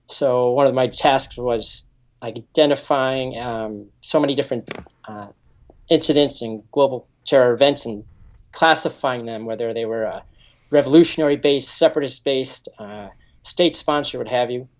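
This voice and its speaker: A man's voice: mid-range voice, moderate pace. A man in his forties, a security consultant, earnestly explaining his background in a radio interview with frequent ums. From United States. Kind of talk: interview answers.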